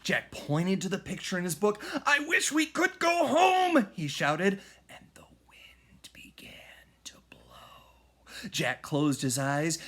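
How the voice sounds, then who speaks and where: A man reading a children's story aloud in an expressive, dramatic way, moving between hushed and raised delivery. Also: sits high. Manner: animated, dramatic, with hushed whispers and shouts. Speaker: read-aloud storyteller, music teacher.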